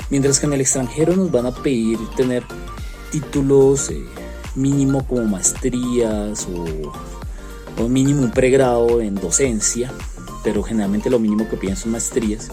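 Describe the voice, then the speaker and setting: A man with a Colombian accent speaks in a mellow, relaxed tone. He is a language teacher giving a considered, earnest account to students, often pausing on fillers. Soft music plays faintly underneath. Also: mid-range voice. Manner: earnest, frequent hesitation fillers. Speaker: French teacher, interviewed expert. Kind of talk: explanatory monologue to students.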